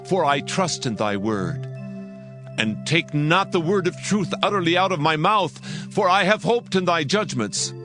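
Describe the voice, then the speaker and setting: Man, slightly high voice, dramatized scripture reading, clear background music. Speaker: scripture reader. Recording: reading over a music bed.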